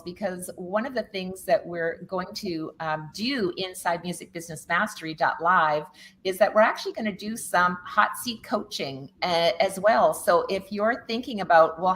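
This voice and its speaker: A woman's voice: mid-range voice, normal speed, a bit bright. A woman from Canada hosting a casual interview, upbeat and enthusiastic as she promotes her event. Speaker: host.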